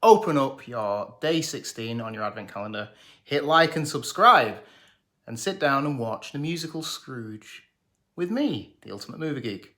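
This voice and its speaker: A man's voice: mid-range voice, sing-song, moderate pace, sharp tone. A man, presenting a scripted, jokey film review. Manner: jokey, sarcastic, enthusiastic. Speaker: movie reviewer.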